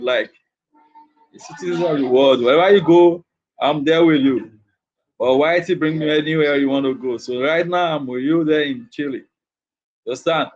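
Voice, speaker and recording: A man's voice: on the high side, darkish tone, lo-fi sound. A man from Nigeria with a Nigerian accent, hosting a casual livestream chat and repeating his phrases over and over. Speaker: livestream host.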